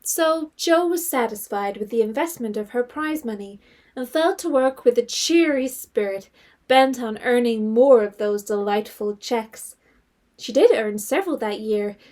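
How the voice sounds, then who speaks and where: A woman acting as a storybook narrator with a fairly high voice. She reads literary prose in a measured, composed way, as scripted narration for an audio drama. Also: lively intonation, a little mellow. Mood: composed storytelling.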